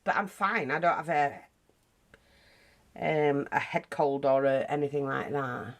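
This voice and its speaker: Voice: mid-range voice, measured pace. A craft teacher with a British accent, nose bunged up, chatting casually through a tutorial with frequent asides. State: blocked nose.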